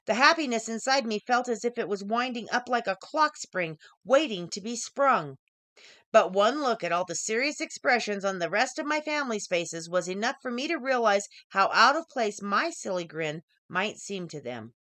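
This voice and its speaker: A woman giving an expressive scripted reading of her own short story as its author. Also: a little high, moderate pace, no room sound.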